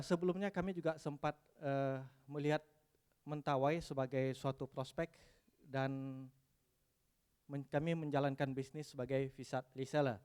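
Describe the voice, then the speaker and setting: A man from West Sumatra giving a technical conference presentation, pausing often with "eh" and dropping in English terms. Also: on the high side, darkish tone, clear, resonant tone. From West Sumatra, Indonesia. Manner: hesitant, frequent "eh" fillers, mixes in English terms.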